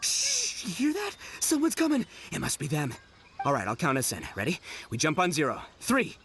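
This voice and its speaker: A man's voice: fairly high pitch, huge pitch swings, normal speed, fairly bright tone, slight background noise, exaggerated voice. An actor playing a boastful, boisterous young male gang leader, brash and theatrical, in an English video game dub. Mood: scheming, excited. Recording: music behind the voice.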